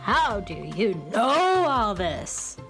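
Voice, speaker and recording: An actress playing a naive, curious young music student, wondering and halting, in a children's radio drama. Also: female voice, mid-range voice, sing-song, a bit bright, exaggerated voice. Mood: puzzled.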